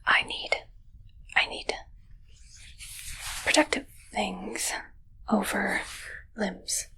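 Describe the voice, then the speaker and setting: A woman voicing a bumbling shapeshifting alien who poses as a human doctor in an ASMR roleplay, whispering in halting, stilted broken English. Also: medium pitch, sharp tone, exaggerated voice, rough recording. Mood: hesitant, improvising. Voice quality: whispery, breathy.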